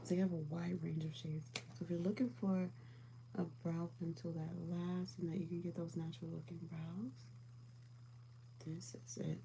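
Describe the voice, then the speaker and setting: A calm, soothing woman chatting casually with viewers as she does her makeup, often dropping to a whisper. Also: fairly low pitch, normal speed, creaky, slight background noise, low-quality recording. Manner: calm, soothing, soft-spoken; often drops to a whisper while applying makeup. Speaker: beauty livestream host.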